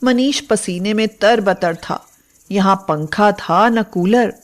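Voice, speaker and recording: Slow-paced woman narrating a story. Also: medium pitch. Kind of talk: scripted moral-story narration.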